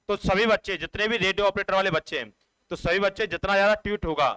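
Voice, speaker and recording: Male coaching teacher, high pitch, crisp clear tone, instructive and repetitive, informal explaining. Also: pushed and bright, no room sound, poor audio. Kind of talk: informal explanatory exam update.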